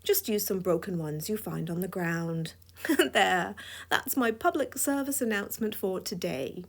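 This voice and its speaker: A woman's voice: medium pitch, a little slow, creaky. A woman with a scratchy voice giving a drawing tutorial voice-over, playful and chuckling often. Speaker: drawing instructor.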